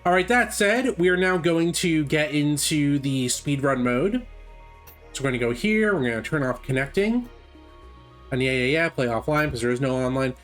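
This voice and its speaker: A man's voice: fairly high pitch, expressive, normal speed, fairly bright tone, soft background music. A man giving casual, chatty live commentary on a game speedrun, explaining as he goes. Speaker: gameplay commentator.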